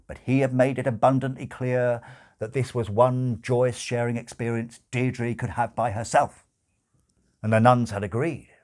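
Expressive older man reading comic fiction. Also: medium pitch. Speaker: audiobook-style reader. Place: small furnished room at home, indoors.

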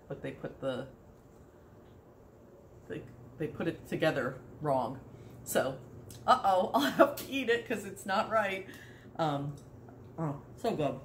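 A woman in her sixties hosting a casual home cooking demonstration in her kitchen, chatty and rambling, laughing often, recorded on a nearby phone. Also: deep voice. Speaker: home cooking video host. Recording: echoey kitchen with background noise.

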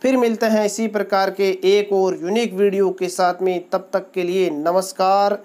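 A man from Rajasthan narrates as the host of his own channel. He lists points one after another in a steady, promotional voice-over, polite and formal in his greetings. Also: sits high, normal speed. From Rajasthan, India. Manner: steady salesy listing of features, formal greetings. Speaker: channel host, livestock seller presenter. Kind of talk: promotional voice-over describing a cow for sale.

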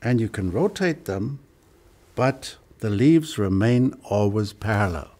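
An older man explains a lighting product on camera in a studio, showing it with his hands as he talks in a patient, measured way. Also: lowish voice, animated, darkish tone.

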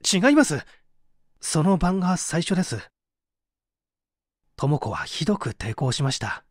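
A man's voice: fairly high pitch, measured pace, no room sound, clean studio sound. A man reading dramatically as a bitter, despairing painter who gives testimony in a formal, confessional way. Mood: defensive. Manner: confessional, expressive, formal narrative delivery.

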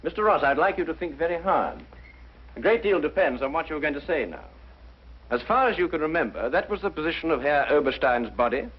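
An actor playing a brilliant, courteous detective, speaking precisely and deliberately in 1950s detective drama style. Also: male voice, on the high side, expressive, breathy edge. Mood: probing. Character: brilliant, composed male detective, methodical and courteous. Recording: muffled 1950s broadcast, music underneath.

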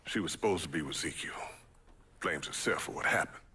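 A man's voice: deep voice, fast talker, pushed and bright, slight background noise. A man playing a grave, burdened middle-aged American civil rights leader in a video game, speaking emphatically. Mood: grave, concerned. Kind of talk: video game cutscene acting.

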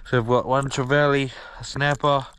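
A man's voice: mid-range voice, flat delivery, moderate pace, fairly bright tone, clean tone. Laid-back New man, spearfishing. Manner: laid-back, plain-spoken, slangy. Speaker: spearfishing vlogger. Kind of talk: voice-over narration and in-water commentary. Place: at sea, in the water, outdoors. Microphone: action camera on his head. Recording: music under the narration; water noise in the field.

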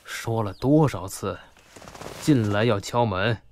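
An actor playing a reserved, regretful boss in an audio drama. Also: male voice, on the low side, slow, noisy background. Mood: stern, curt. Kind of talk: Chinese audio drama acting.

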